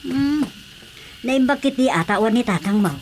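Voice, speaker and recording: A woman's voice: on the high side, animated, moderate pace, a little mellow, a little hiss or hum. A woman playing a gossipy older village confidante in a Filipino radio drama comedy, teasing and exclaiming.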